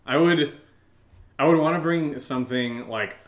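A man's voice: on the high side, moderate pace, reverberant. A man co-hosting a show with casual, joking banter, thinking aloud in a rambling, hesitant way. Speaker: show co-host.

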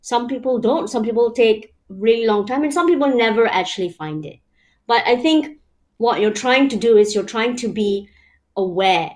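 A woman's voice: a little high, lively intonation, normal speed, darkish tone. A woman from Malaysia, a guest in an interview, explaining her ideas step by step in a reflective, casual way with many fillers. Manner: reflective, explains in numbered steps with many "you know" fillers.

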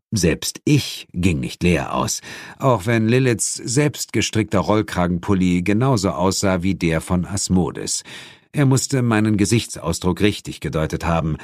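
A man narrating an audiobook in the first person, with a dramatic, theatrical and deliberate delivery. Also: sits low, darkish tone, no echo, clean studio sound.

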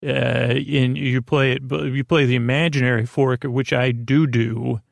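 A man with a heavily creaky, gravelly voice, recorded close and dry. He hosts a rambling bedtime podcast, improvising as he goes, halting often, circling back and leaving thoughts unfinished. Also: medium pitch, flat delivery, no room sound. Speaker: podcast host.

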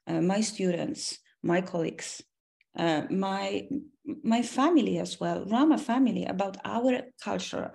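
A woman in her forties from Poland, an academic answering interview questions in a measured way, with frequent restarts and fillers. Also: fairly low pitch, vocal fry, darkish tone. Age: 40s. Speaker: academic and foundation president.

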